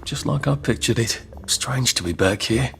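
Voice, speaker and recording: An actor playing a prim, wistful British office worker in a video game, musing to himself. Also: male voice, mid-range voice, lively intonation, normal speed, creaky, fairly bright tone, soft background music. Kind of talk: video game cutscene acting.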